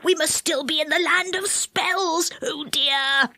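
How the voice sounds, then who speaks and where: Woman voicing a high, earnest magical fellow. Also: slow, pushed and bright, no room sound, studio quality, full character voice. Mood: frightened. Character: bold, anxious male magical friend bluffing as enchanter.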